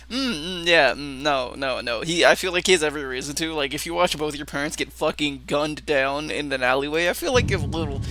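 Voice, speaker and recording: A man hosting a casual reaction video, reading aloud and commenting, often stumbling over words, repeating phrases and swearing. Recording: clearly noisy, loud background rumble.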